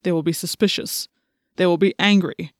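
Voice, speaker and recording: Woman acting out a male alien tyrant, cold, demanding, menacing, forceful slow commands, storybook villain, dry close sound. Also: lowish voice, steady tone, dry recording, lightly acted. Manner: slow, commanding, threatening delivery.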